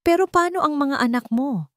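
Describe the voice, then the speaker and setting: A woman's voice: high voice, normal speed, dry recording, clean studio sound, voice pushed up, natural voice. A woman voicing an arrogant wealthy man who sneers and threatens. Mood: menacing.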